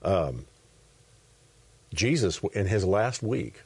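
A man's voice: fairly low pitch, very animated, slow pace, vocal fry, darkish tone, breathy edge, no echo. A man hosting a conversational Bible talk, affirming his guest and then explaining scripture himself. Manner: affirming, chiming in with agreement.

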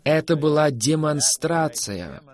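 Fervent preaching man, lively pitch. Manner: fervent, emphatic, swings between loud bursts and soft drawn-out phrases. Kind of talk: preaching conversation.

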